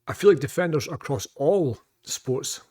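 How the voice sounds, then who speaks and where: A man's voice: fairly high pitch, animated, normal speed, warm tone, husky. A Scottish man rambling through casual reaction commentary, with lots of fillers, restarts and swearing. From Scotland. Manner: rambling and repetitive, with frequent fillers and profanity. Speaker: reaction vlogger.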